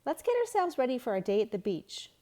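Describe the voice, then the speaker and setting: A woman's voice: on the high side, very animated, fast, mellow, pitched above the performer's own voice. A woman voicing an upbeat schoolteacher rallying a class in a children's storybook, with encouraging exclamations. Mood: cheerful, rallying.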